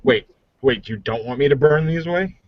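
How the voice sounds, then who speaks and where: A man's voice: on the high side, normal speed, dry recording, highs cut off. A man chatting casually as a player in a tabletop roleplaying game.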